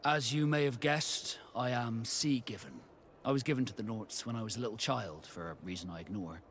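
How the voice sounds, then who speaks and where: An actor playing a proud, reserved male ship captain in a video game, speaking in a measured, formal and courteous way. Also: medium pitch, fairly bright tone, slight background noise, close to an everyday voice. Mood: reserved, wistful. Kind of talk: video game dialogue acting.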